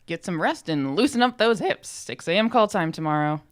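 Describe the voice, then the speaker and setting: A woman's voice: lowish voice, expressive, brisk, lightly acted. A woman voicing a casual, matter-of-fact male film crew colleague in a story reading. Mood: apologetic.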